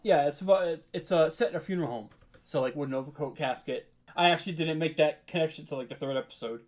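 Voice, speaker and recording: A man's voice: a little high, fast talker. A man being interviewed as a podcast creator, chatting casually in an informal, slangy, rambling way. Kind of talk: casual chat.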